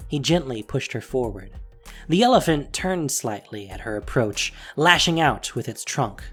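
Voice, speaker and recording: A man's voice: mid-range voice, sing-song, moderate pace, fairly bright tone, husky. A man narrating a fantasy audio drama in a dramatic, scripted storytelling style. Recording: faint music behind voice. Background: faint music.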